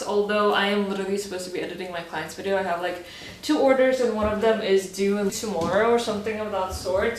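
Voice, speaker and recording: A woman's voice: mid-range voice, slight background noise. A woman in her twenties from Azerbaijan, whose first language is Russian, talking casually and reflectively in a vlog with many "like" fillers. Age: 20s. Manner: chatty, frequent "like" fillers, reflective. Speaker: vlogger.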